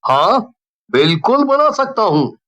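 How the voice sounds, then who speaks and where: Woman voicing a slow-talking, confident young male trainer. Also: a little high, sing-song, fairly bright tone, highs cut off, exaggerated voice. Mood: confident, flattering. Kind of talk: Hindi horror cartoon character voice.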